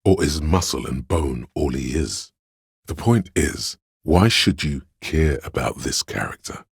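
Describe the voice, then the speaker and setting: Very deep, gravelly, lofty inner muse. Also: male voice, lively intonation, dry recording, studio quality, full character voice. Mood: disdainful. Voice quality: very deep gravelly husky bass. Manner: slow, deliberate, theatrical, pretentious art-critic delivery. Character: artistic inner voice of a detective's mind, lofty and critical. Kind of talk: dramatic game narration.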